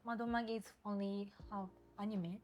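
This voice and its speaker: A woman in her twenties from Japan, with a Japanese accent, speaking English as a second language in a casual interview and pausing often to search for words. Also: mid-range voice, steady tone, darkish tone. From Osaka, Japan. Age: 20s. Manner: hesitant, searching for words, many fillers. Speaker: guest.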